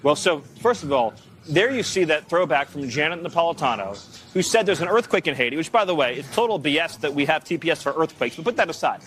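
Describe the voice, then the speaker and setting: A man giving indignant, forceful political commentary in a clip played on a show. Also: a little high, big pitch swings, fast.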